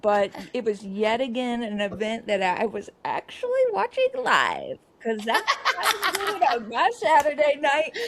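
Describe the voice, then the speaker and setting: A woman co-hosting a comedy podcast, talking in a chatty, sarcastic way with quick asides. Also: a little high, a little hiss or hum. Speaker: podcast co-host. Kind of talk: comedic casual chat.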